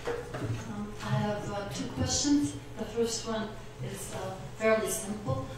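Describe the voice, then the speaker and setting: A woman professor asking a presenter questions from the audience, halting and careful. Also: mid-range voice, a little mellow. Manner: careful, halting phrasing with many "uh"s. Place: conference lecture room. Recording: distant voice in an echoey hall with noise.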